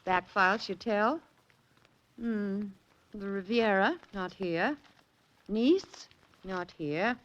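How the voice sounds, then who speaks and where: An actress playing a breezy, wisecracking newspaper society editor in a 1940s radio drama, with a wry, teasing manner. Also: female voice, medium pitch, slow, pauses often, a bit bright. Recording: dull vintage radio recording.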